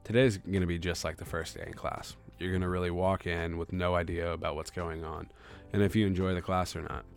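A man's voice: sits low, flat delivery, a little fast, gravelly, faint music behind. A young man from Kansas City in his twenties, hosting a solo podcast in an earnest, reflective, loosely rambling way. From Kansas City, USA. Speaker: podcast host.

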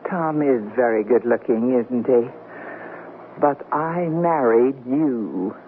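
An actress playing a witty, self-assured middle-aged newlywed wife, teasing dryly in a radio comedy drama. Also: female voice, rumbling low, animated, takes it slowly, heavily acted. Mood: arch, breezy. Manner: arch, dry teasing. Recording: tinny, noisy old radio broadcast recording.

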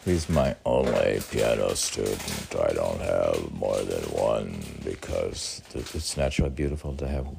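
Older male poet, very low, creaky and unhurried; rambling, self-questioning musings over faint noise. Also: expressive. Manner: rambling, often asking and answering own questions. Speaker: poet, meditation teacher. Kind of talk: casual musing talk with short poems. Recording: faint background noise with clicks.

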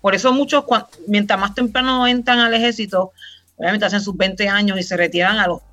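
Bright-voiced Puerto Rican woman, relaxed. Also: mid-range voice, a little fast. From Puerto Rico. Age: 30s. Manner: chatty and relaxed, rambling with fillers. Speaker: guest. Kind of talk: casual podcast interview. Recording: slightly muffled, faint music behind.

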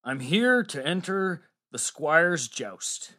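A man's voice: a little high, sing-song, takes it slowly, bright, forceful tone, heavily acted. A man voicing an earnest, unlucky young squire in a storybook, with a hesitant, glum manner. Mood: nervous. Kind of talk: audiobook character acting.

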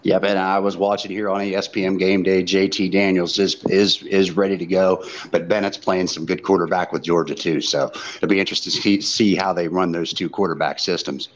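Male sports host, very low voice, casual football chat, slightly dull lo-fi sound with faint noise. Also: flat delivery, a little fast, few pauses. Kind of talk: casual college football talk.

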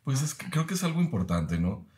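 A man, speaking as a podcast guest in a measured, reflective way with frequent pauses. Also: medium pitch, sing-song, darkish tone. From Mexico. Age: 30s. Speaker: guest, university professor.

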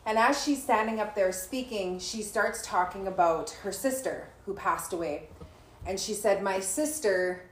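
A woman's voice: mid-range voice, a little slow. Woman host with a roomy sound. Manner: storytelling, reflective, laughs often. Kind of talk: warm conversational podcast chat.

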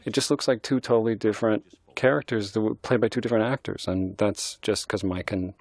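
A middle-aged man, a film director, giving an admiring, halting film commentary. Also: on the low side, fairly even intonation, darkish tone. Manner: thoughtful, admiring, halting restarts.

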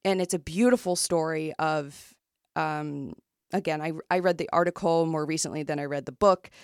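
A woman co-hosts a casual book-club podcast, animated as she retells a true story, full of "um"s, "like"s and restarts. Her voice sits on the lower side, and the recording is dry and close. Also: moderate pace, clean tone, no echo. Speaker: podcast co-host.

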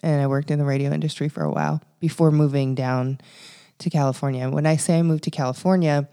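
A woman's voice: low voice, fairly even intonation, fast, mellow, clear, resonant tone. A woman from Canada in her thirties, co-hosting a podcast and chatting casually about her life. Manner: chatty storytelling with fillers and laughs. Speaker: podcast co-host.

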